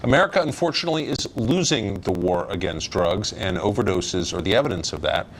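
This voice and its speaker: A man's voice: on the low side, animated, a little fast, soft background music. A man anchoring a news show, reading a grave, emphatic script. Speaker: news host.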